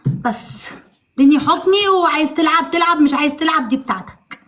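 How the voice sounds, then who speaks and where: A woman's voice: high voice, quick pace, fairly bright tone. A woman with an Egyptian accent in a casual live chat, teasing and insistent in a mock-stern way. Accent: Egyptian Arabic. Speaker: live stream participant.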